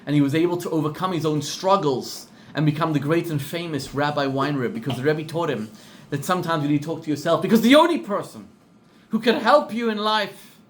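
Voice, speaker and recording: A middle-aged rabbi with a South African accent telling a story in a sermon, acting out the people in it and repeating words for emphasis. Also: male voice, fairly high pitch. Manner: animated storyteller, acts out quoted voices, repeats for emphasis.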